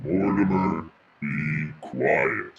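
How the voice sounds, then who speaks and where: A man playing a stern policeman giving slow commands, his voice digitally pitched down. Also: dull audio, a loud crowd, heavily acted. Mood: stern, commanding. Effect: pitch shifted down digitally.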